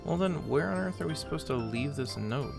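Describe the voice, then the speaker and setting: A man's voice: fairly high pitch, expressive, fairly quick, gravelly. A man giving casual, chatty commentary while playing a game, thinking aloud and poking fun at himself. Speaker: let's play host. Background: loud game music.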